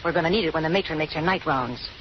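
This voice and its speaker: A woman's voice: deep voice, rapid-fire, lightly acted. An actress playing a hard-bitten, bossy woman convict in a 1930s radio crime drama, curt and slangy. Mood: scheming. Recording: noisy, muffled old radio recording.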